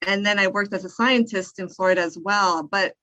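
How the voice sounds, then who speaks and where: Mid-pitched woman telling her story. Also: fairly quick, sharp tone. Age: 30s or older. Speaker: interview guest, film writer. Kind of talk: conversational personal storytelling.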